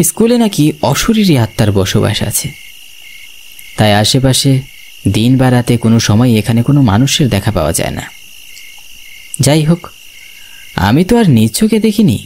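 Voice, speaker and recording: A man voicing a dutiful young village night watchman in a first-person horror story, expressive and suspenseful. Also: mid-range voice, a little hiss or hum. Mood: resolute.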